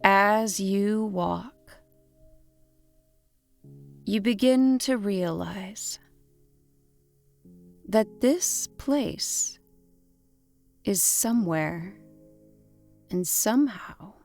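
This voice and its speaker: A woman narrating a guided imagery meditation in a gentle, soothing way, in short deliberate phrases with long pauses. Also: medium pitch, lively intonation, vocal fry. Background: instrumental music.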